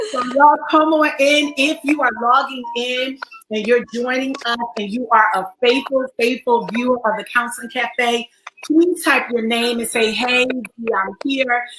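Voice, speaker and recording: A woman with a raspy voice hosts a livestream talk show. She greets viewers by name and laughs often. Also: a little high, fairly even intonation, slow pace, echoey room, background noise. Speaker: online talk show host. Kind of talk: welcoming livestream chat.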